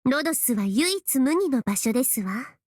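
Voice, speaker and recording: A woman's voice: high-pitched, very animated, fast, darkish tone, dry recording, studio quality. A woman voicing a refined, lofty noblewoman in a game, with an elegant, grand and formal manner. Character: refined, lofty noblewoman enamored of epic tales. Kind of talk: Japanese game character voice line.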